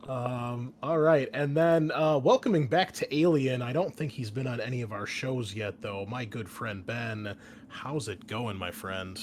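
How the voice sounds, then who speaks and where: A man's voice: mid-range voice, unhurried. A man hosting a tabletop game as game master, chatting casually as he introduces the session. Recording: clear background noise.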